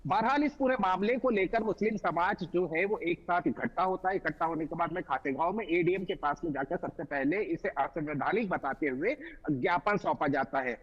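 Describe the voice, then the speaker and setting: A high-voiced male correspondent files a live, unscripted report. He talks in formal, roundabout phrases with halting breaks. The link sounds dull and lo-fi. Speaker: field news correspondent.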